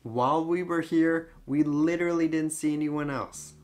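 A young man narrating his road trip vlog in a relaxed, easygoing way. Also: fairly high pitch, normal speed, loud music behind the voice. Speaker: travel vlogger.

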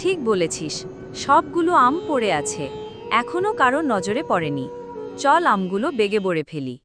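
A woman voicing a kind-hearted, eager young village girl in a cartoon, with an earnest, expressive manner. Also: mid-range voice, normal speed, over music, lightly acted. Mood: curious. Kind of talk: cartoon dialogue acting.

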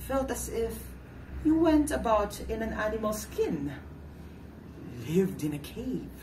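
A man's voice: high-pitched, big pitch swings, takes it slowly, a little mellow, full character voice. A man playing a cunning, bitter forger in a stage play, declaiming in a mocking way. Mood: scornful. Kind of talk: theatrical one-act stage play acting. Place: furnished indoor stage set, distant camera. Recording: echoey room, distant mic, background noise.

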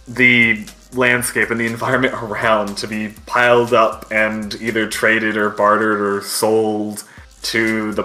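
A man working as game master, improvising descriptive narration for a tabletop game in a halting way. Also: lowish voice, bright, forceful tone, low-quality recording.

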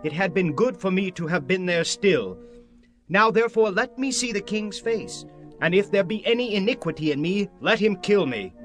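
An actor playing an ambitious, proud prince in a dramatized Bible recording, declamatory and emphatic. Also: male voice, high-pitched, lively intonation, normal speed, faint music behind. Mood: defiant. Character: ambitious, scheming prince Absalom, proud and persuasive. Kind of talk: dramatized Bible audio acting.

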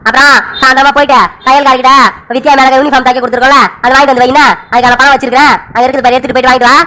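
A woman voicing a brusque husband who talks fast and calls his wife "di" in a family skit. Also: high voice, flat delivery, bright, forceful tone. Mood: placating. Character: irritable husband, gruff then soothing.

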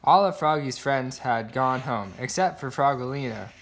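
A man's voice: mid-range voice, moderate pace, a bit bright, slight background noise. A man reading a children's picture book aloud, voicing the sound words expressively. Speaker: storybook reader.

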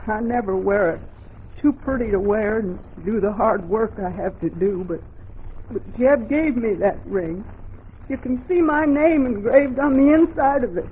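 An actress playing a stern, plain-spoken older frontier wife, folksy and blunt, in 1940s radio drama style. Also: female voice, fairly high pitch, measured pace, lightly acted. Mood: hurt, bitter. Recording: muffled, noisy 1940s radio recording.

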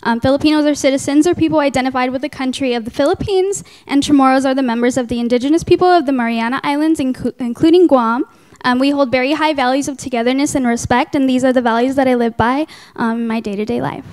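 A high-voiced young woman in her teens speaks quickly and smoothly. She is a student from Hawaii giving a prepared introduction. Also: a little mellow. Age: teens, high school senior.

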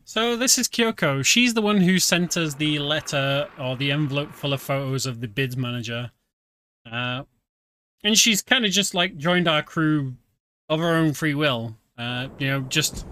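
A man's voice: a little high, a little slow, bright, forceful tone. A British man giving casual, chatty commentary while playing a game, explaining as he goes with many fillers. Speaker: game streamer. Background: game music.

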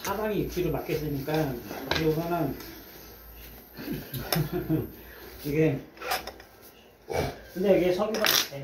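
A man chatting casually over a meal at a restaurant, thinking aloud in a relaxed way with fillers. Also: on the high side, takes it slowly, pauses often. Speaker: friend at the table. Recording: distant voice in a noisy echoey restaurant.

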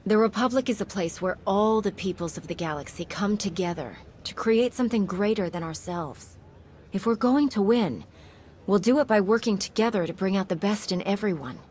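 A woman's voice: mid-range voice, expressive, moderate pace, a little mellow, husky. Composed heroic female commander. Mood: earnest, confident. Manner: composed, earnest, resolute. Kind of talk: scripted video game acting.

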